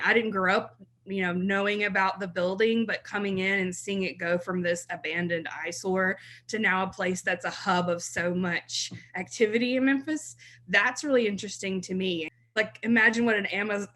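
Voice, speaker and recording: Woman, thirties, from South Mississippi, podcast guest, chatty, laughs easily, bright voice. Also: medium pitch. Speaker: blog writer, guest. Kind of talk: podcast interview.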